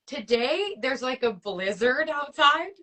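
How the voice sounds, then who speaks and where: A native French-speaking woman from Canada, in her thirties, talks in a bright, slightly high voice. Her casual interview chat runs loose and friendly, filled with "like" and easy laughter. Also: sing-song, slow pace. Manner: chatty, peppered with "like", laughs often. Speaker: actress guest.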